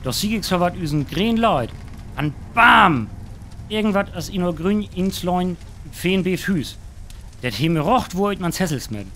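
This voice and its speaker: A man's voice: high voice, lively intonation, unhurried, a bit bright, a little hiss or hum, full character voice. A man playing a flustered, naive rural eyewitness who rambles through a radio play interview. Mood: excited recounting.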